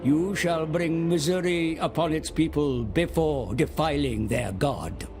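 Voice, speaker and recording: A creaky, scheming seer. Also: male voice, on the high side, noisy background. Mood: sly, grandiose. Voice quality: creaky, gravelly. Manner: theatrical, deliberate, sly and flattering. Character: scheming, servile male seer guiding demonic warlords. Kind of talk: dramatic dark fantasy game narration. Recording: music under the voice. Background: dramatic music.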